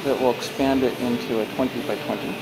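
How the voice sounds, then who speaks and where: Gravelly man touring a booth. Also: medium pitch, steady tone, loud music behind the voice. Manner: plain, unhurried sales description with many pauses and fillers. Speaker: used trade show booth seller. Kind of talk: walkthrough tour. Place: large warehouse, indoors. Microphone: handheld camera held by the speaker. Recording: noisy warehouse camera audio.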